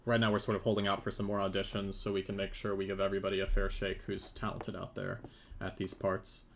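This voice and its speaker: Low, creaky-voiced man chatting on stream. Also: monotone, fast talker. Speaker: game developer and 3D modeler on a livestream team panel. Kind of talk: casual livestream chat and demonstration. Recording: faint background noise, keyboard typing.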